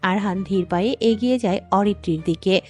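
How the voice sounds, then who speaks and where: A woman's voice: medium pitch, moderate pace, soft background music. A woman narrating a scripted dramatic story as its storyteller.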